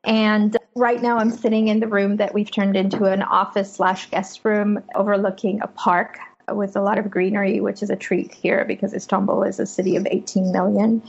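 A woman from Afghanistan, a native Persian speaker, speaking as a journalist guest in a measured, reflective way from her home office. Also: medium pitch, gravelly, husky. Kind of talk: interview over remote link. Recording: muffled lo-fi remote audio.

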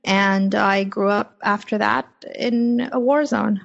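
A woman from Afghanistan, a native Persian speaker, speaking as a journalist guest in a measured, reflective way from her home office. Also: mid-range voice, fairly even intonation, gravelly. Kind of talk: interview over remote link. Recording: muffled lo-fi remote audio.

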